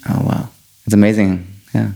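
A man born in Germany talks in an easygoing chat with a somewhat low voice. He is enthusiastic and quick to agree. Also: little pitch movement, vocal fry, dark tone. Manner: enthusiastic, laughs easily, quick affirmations. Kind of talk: casual conversation.

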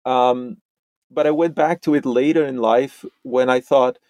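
A middle-aged man from Romania, a podcast guest, talking in a halting way with many restarts and repeated words. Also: medium pitch, fairly even intonation, darkish tone, no echo. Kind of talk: conversational interview.

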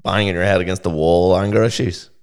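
A man's voice: deep voice, steady tone, quick pace, smooth. A man with a Northern Irish accent bantering casually as a podcast co-host, with a wry, teasing manner.

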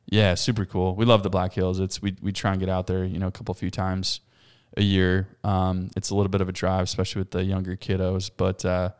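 A man in his thirties, a podcast guest, chatting casually and loudly, rambling with plenty of fillers and frequent laughs. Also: sits low, completely flat delivery, a little fast, clean tone. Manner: loud, laughs often, rambling with many fillers. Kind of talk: casual chat.

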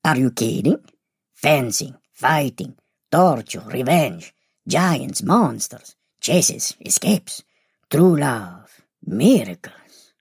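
A woman playing an old, chatty, genteel male vampire in an audio story, husky and creaky, with arch, exclamatory, deliberate phrasing. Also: a bass voice, big pitch swings, darkish tone, dry recording, clean studio sound, exaggerated voice. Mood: theatrical, inviting. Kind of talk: acted audio story dialogue.

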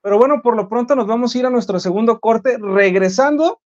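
A man's voice: very high voice, brisk, darkish tone. Mexican man, sports talk host, opinionated and emphatic, slightly dull lo-fi sound. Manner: emphatic, argumentative.

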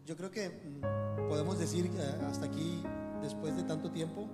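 Higher-voiced man hosting a chat. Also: steady tone, normal speed. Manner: short friendly interjections. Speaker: host. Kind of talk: casual interview chat. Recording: reverberant room with loud music.

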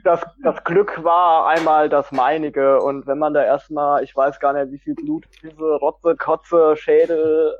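A man's voice: fairly high pitch, little pitch movement, measured pace, hardly any pauses. A man chatting casually as a guest on a group podcast. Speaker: podcast guest, hobby metal musician. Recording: dull lo-fi voice-call sound.

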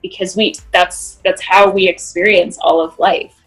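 A woman's voice: lowish voice, fairly even intonation, a little slow, husky, soft background music, low-quality recording. A woman guest, a movement specialist, explaining her approach in an earnest, conversational way. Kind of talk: conversational interview answers.

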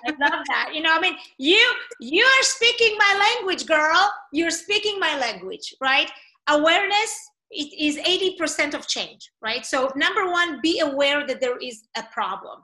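A woman's voice: high-pitched, animated, moderate pace, bright, forceful tone. A woman from Israel with an Israeli accent, a non-native English speaker, hosting a casual online talk in an enthusiastic, encouraging, emphatic way. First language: not English. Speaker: host of a live online talk session. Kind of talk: casual interview chat. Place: online video call.

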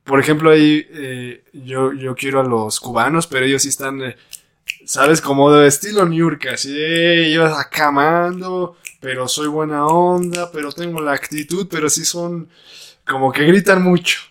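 A man's voice: a little high, fairly even intonation, normal speed, fairly bright tone, smooth. A man with a Mexican accent hosting a casual podcast chat, slangy and laid-back, asking his guests questions. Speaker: podcast host.

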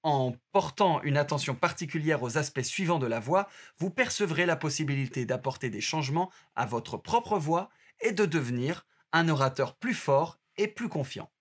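Male narrator, a touch high-pitched, reads course material in a steady, teacherly way. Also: moderate pace, few pauses. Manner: steady instructive reading. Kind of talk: scripted narration.

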